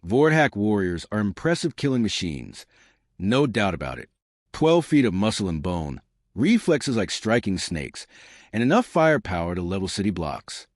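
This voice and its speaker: Fierce little female space captain, played by a male narrator, sarcastic and sure of herself, lively swings in pitch. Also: normal speed, no echo, clean studio sound. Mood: commanding. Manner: sarcastic, confident.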